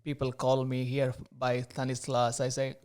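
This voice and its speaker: A man from Tamil Nadu speaks English with a Tamil Indian accent and a smooth, resonant tone. He talks in a relaxed, hesitant way, often pausing and restarting as he explains. The pitch sits in a middle range for a man. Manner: hesitant, many fillers and restarts. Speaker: guest, religious brother in training. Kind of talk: casual interview chat.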